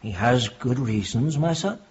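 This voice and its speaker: An actor playing a troubled, guilt-laden clergyman in a radio drama, solemn and measured, with a husky voice. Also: mid-range voice, expressive, dull audio. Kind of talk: broadcast radio drama acting.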